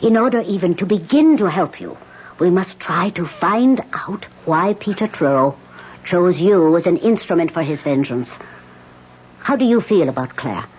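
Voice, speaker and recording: An actress playing an older woman medium who asks calm, probing questions in a radio drama. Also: on the low side, normal speed, slight background noise, natural voice. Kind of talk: 1970s radio drama acting. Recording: lo-fi old radio broadcast.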